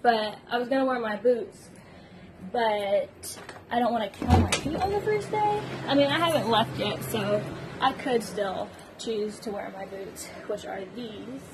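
A woman's voice: on the high side, unhurried, noisy background. A young woman vlogging casually from her bedroom, talking into a phone held at arm's length, rambling with fillers and giggling. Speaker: book vlogger. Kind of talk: casual vlog chat. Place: small bedroom.

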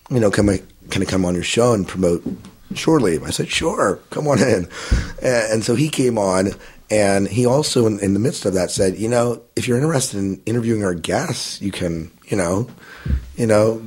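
Low husky-voiced man telling a rambling story. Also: darkish tone. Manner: rambling, filler-heavy storytelling with restarts. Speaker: podcast guest. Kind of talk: casual interview answers.

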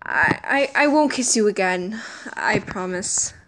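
A woman voicing flustered, lovestruck young male cartoon space pilots in an amateur comic dub, with an emotional, halting delivery. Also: mid-range voice, lively intonation, noisy background, voice pushed down, natural voice. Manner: stammering, emotional.

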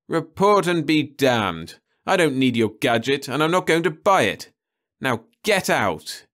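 A man voicing an indignant, defiant young man who snaps back angrily in slow, drawn-out retorts. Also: mid-range voice, big pitch swings, fairly bright tone, voice pushed up.

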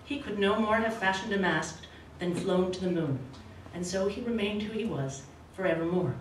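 Woman reading aloud in an echoey room. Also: mid-range voice. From grew up in Australia, Canada and the States. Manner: measured, expressive reading; halting and self-correcting when answering. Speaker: novelist reading and taking questions. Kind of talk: literary reading, then casual Q&A. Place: small event room with a red curtain, indoors. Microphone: podium microphone, recorded from a far camera. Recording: echoey room, voice from a distance, faint hiss.

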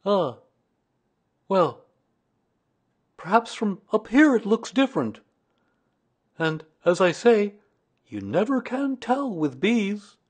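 A man acts out a storybook toy bear with a raised, singsong pitch. He is earnest and a little anxious, and weighs his words ponderously as he thinks things through. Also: very animated, takes it slowly, long pauses, slightly rough, exaggerated voice. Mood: pondering, suspicious. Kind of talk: children's storybook character reading.

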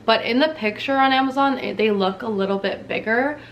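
A woman's voice: fairly high pitch, brisk, slight background noise. A woman in her twenties from Canada, chatting casually to camera in a bubbly, slangy way in a small dim room. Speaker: beauty vlogger.

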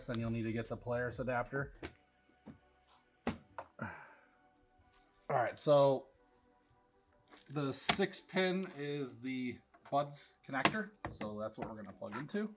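A man's voice: mid-range voice, unhurried, pauses often, a bit bright, soft background music. A middle-aged man giving a casual, matter-of-fact walkthrough in an informal way, recorded indoors in a workshop. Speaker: product demonstrator at a powersports tuning shop. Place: large indoor vehicle workshop.

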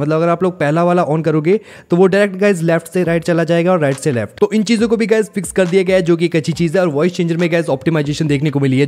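A young Indian man hosts a tech review in a rather high voice. He talks very quickly and keeps addressing his viewers directly as he walks them through features. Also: darkish tone. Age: 20s. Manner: repetitive, addresses viewers as guys. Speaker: tech YouTuber, host. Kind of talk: tech review narration to camera.

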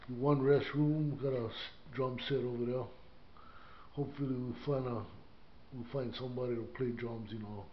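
An older man from Hawaii, rambling with many fillers as he shows the church he cleans. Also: medium pitch. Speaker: church custodian vlogger. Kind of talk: casual walkthrough commentary. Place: inside a small church building. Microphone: body-worn action camera. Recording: dull lo-fi camera audio with faint noise.

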